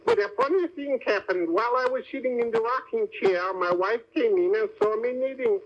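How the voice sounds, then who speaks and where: A man playing a cheerful, excitable married immigrant fellow in a 1940s radio comedy. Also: very high voice, a little fast. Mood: chatty, pleased. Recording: old lo-fi radio comedy recording, faint noise.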